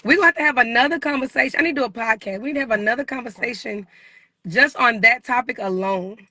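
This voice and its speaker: A woman interviewer with a high, bright voice speaks in an informal, conversational way. Her questions come in casual, slangy phrasing, as in a relaxed chat. The sound is dry, with very little room in it. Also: fast talker, dry recording. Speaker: podcast-style interview host.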